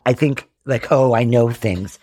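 A man in his forties from Rhode Island, a podcast guest telling candid stories, acting out family dialogue in lively bursts. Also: mid-range voice, unhurried, no echo. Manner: animated, acts out quoted family dialogue. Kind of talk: candid storytelling in conversation.

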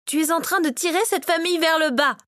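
Storybook mother, played by a female narrator: furious, commanding, scornful, lifted in pitch. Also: expressive, normal speed, no echo, clean studio sound. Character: Victoria, furious domineering mother in a fantasy tale.

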